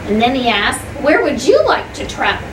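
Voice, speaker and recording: A woman's voice: high voice, sing-song, moderate pace. A woman teacher reading a story aloud to children, stopping for simple explanations, repeating points and putting questions to the listeners. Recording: echoey room, distant mic, clear background noise.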